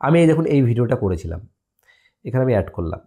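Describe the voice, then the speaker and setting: A male tutorial host walks listeners through an app in a casual, step-by-step way. His voice is mellow and medium in pitch, with lively rises and falls. He often pauses his explanation to check that listeners follow.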